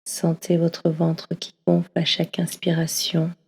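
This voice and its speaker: A woman leading a guided yoga nidra session, speaking slowly and softly, often slipping into a half-whisper. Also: lowish voice, completely flat delivery, creaky, husky. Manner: slow, soft, often half-whispered delivery. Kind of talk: guided meditation. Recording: long reverb as in a hall.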